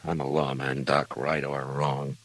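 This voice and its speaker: An American man in a vintage radio western, playing a steady, conscientious frontier marshal with a plainspoken, halting, grave manner. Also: rumbling low, exaggerated voice. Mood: grave, torn. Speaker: radio actor. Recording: vintage radio recording, dry studio sound.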